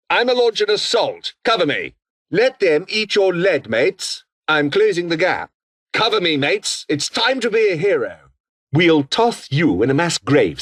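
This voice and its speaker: A man's voice: a little high, fairly bright tone, slightly rough, exaggerated voice. A male actor voicing a menacing, foul-mouthed revolutionary foot soldier with a British accent, taunting and theatrical in video game barks.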